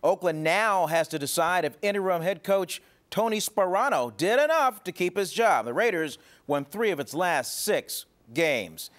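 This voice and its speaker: A man's voice: sits high, lively intonation, normal speed, hardly any pauses, fairly bright tone. A man anchoring a television sportscast with a punchy, playful delivery. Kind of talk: lively sportscast, scripted narration. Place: TV news studio.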